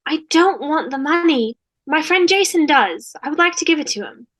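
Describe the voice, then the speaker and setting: A woman's voice: sits high, lively intonation, normal speed, fairly bright tone. A woman playing a haughty rich socialite in a comedy table read, dramatic and insistent. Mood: insistent, grand.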